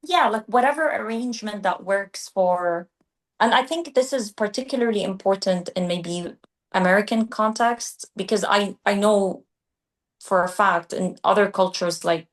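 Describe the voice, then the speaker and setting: Podcast co-host, female, grew up in Qatar; thoughtful, measured delivery with mid-sentence restarts. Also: mid-range voice. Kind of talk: casual discussion.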